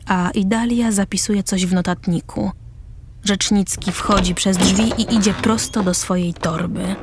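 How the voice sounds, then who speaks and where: Woman narrating a play script, kinda husky, medium pitch. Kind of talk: scripted stage-direction narration.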